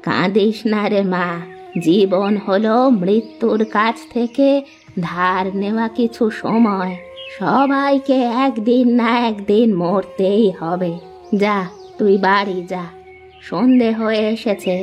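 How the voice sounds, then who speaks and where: A woman's voice: mid-range voice, slow, lightly acted. A woman voicing a kindly old forest-dwelling woman in a moral-story cartoon, probing and motherly. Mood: consoling. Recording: dull lo-fi sound, music behind.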